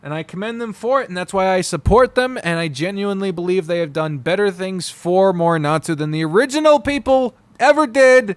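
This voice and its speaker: A man giving an unscripted, casual review, blunt, profane and opinionated, often halting, digressing and trailing off mid-sentence. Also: sits high, expressive, smooth, no echo. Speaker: visual novel reviewer.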